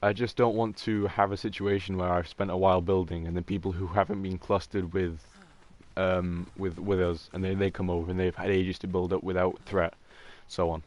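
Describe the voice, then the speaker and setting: A young man with a deep voice, rambling casually as he plays a game and talks over voice chat. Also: level tone, moderate pace, darkish tone, muffled recording. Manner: rambling, chatty, trailing off mid-thought. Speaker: gaming streamer. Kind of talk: casual gameplay commentary with voice chat.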